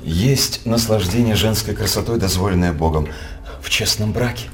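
An actor playing a sly, flattering, mock-pious court jester, declaiming wryly in a radio verse drama. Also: male voice, on the low side, moderate pace, slight background noise, close to an everyday voice. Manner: wry, declamatory.

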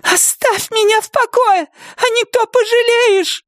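A woman voicing a timid, high-strung older woman with a high, thin, trembling voice, shrieking in panic. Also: a little slow, fairly bright tone, no room sound, clean studio sound. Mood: panicked shrill. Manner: shrieking, panicked, near tears. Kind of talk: audiobook character voice.

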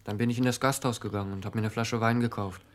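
A man's voice: on the low side, steady tone, fast, lightly acted. An actor playing a naive, lovesick young village postman in a German radio play, speaking earnestly and pleadingly. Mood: shy, earnest. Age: young adult.

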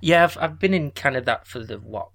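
Joking man around thirty with a bright voice. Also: mid-range voice, animated, a little fast. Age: 30s. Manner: joking, swears casually. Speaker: podcast co-host. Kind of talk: casual chat.

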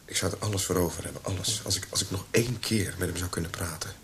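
An actor playing a despondent, troubled younger brother on the run in a radio drama. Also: male voice, low voice, fast talker, fairly bright tone, a little hiss or hum. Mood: troubled, subdued. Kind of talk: Dutch radio drama acting.

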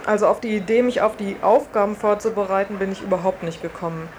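Woman reporter just telling what happened to her, normal pitch, bit of background noise. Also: quick pace, a little mellow. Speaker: reporter and test participant. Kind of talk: informal first-person account. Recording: faint background ambience and noise.

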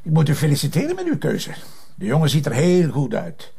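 Gravelly, grumpy Flemish husband. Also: male voice, fairly high pitch, huge pitch swings, brisk, mellow, exaggerated voice. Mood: jealous, sarcastic. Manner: sarcastic, grumbling. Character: grumpy, sulking husband, sarcastic and wounded. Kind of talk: naturalistic Flemish radio or TV drama acting.

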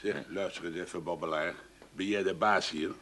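An actor with an Amsterdam accent playing a pushy street con man in a radio play, smooth-talking and brusque. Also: low voice, fairly even intonation, normal speed, sharp tone.

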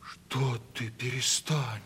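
Timid young merchant's son, echoing. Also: male voice, medium pitch, steady tone, takes it slowly, a bit bright, slight background noise. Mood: uneasy. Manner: folksy, artless, fretful. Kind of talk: 1970s Russian radio play acting. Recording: reverberant old radio play, music behind.

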